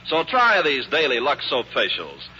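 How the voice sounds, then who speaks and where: A man's voice: mid-range voice, wildly swooping pitch, unhurried, sharp tone. A man working as a 1950s radio announcer, reading scripted ad patter in a polished, salesmanlike way. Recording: dull, faintly noisy old radio broadcast.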